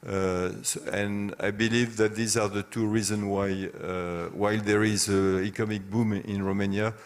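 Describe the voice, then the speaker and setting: Halting low-voiced man on a panel. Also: little pitch movement. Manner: hesitant, halting delivery with long pauses. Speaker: business executive on a conference panel. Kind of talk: unscripted panel discussion. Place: business conference.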